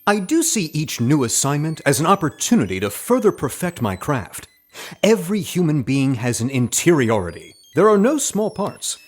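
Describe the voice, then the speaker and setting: An actor playing a pompous method-actor spy in an audio drama, husky and grandly theatrical. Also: male voice, a little high, very animated, moderate pace. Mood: pompous, smooth.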